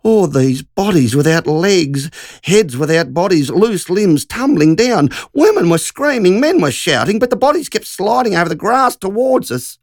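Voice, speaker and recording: A woman's voice: fairly low pitch, sing-song, normal speed, a little mellow, no room sound, clean studio sound, voice pushed up. A narrator voicing Margie, a shaken country woman who tells a frightening story in an urgent, breathless rush. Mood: frightened.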